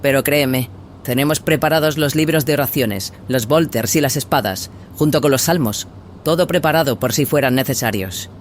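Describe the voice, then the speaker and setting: A man voicing a gruff, stern battle sister, loyal second-in-command, in an audio drama. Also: mid-range voice, moderate pace, slight background noise, pitched above the performer's own voice, natural voice. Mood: grim, loyal. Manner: stern, somber. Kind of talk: dramatized audio fiction dialogue in Spanish.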